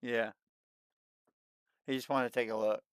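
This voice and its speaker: A man in his late thirties hosting a livestream, chatting casually and excitedly, and often repeating himself. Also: medium pitch, measured pace, clean tone, no echo, clean studio sound. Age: 30s. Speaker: livestream host. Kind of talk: casual chat.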